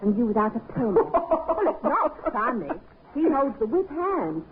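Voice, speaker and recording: A very noisy, tinny old radio recording carries an innkeeper's wife near forty. She is sharp-tongued and fretful. Her high voice swoops widely in vintage radio drama style. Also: sing-song, measured pace. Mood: anxious, exasperated. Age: middle-aged. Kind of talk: 1950s radio drama acting.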